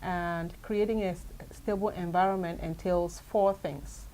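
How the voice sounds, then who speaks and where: A woman's voice: fairly low pitch, steady tone, a little slow, mellow, slight background noise, poor audio. Earnest middle-aged woman from Cameroon. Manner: earnest, methodical, explains point by point. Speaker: guest educator. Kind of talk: interview answers.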